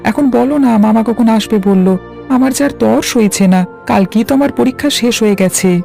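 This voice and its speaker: A woman's voice: a little high, fairly quick, creaky, dark tone, soft background music. A woman voicing a curious, obedient little boy in a cartoon, with a childlike manner. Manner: childlike, inquisitive. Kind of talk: cartoon dialogue.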